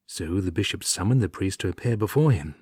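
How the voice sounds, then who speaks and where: A man narrating an old comic tale as a storyteller, in a measured, expressive, wry delivery with a husky voice. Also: low-pitched, no room sound, studio quality. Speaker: audiobook reader.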